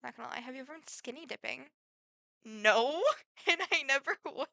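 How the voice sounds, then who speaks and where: A teenage girl with a Midwestern accent, talking through a casual question-and-answer vlog. Her voice is bright and a bit high, and she rambles along with lots of fillers. The sound is close and dry. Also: expressive, normal speed, creaky, no room sound. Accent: Midwestern American. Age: teens. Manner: chatty, rambling, full of fillers. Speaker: vlogger. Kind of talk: casual get-to-know-me Q&A.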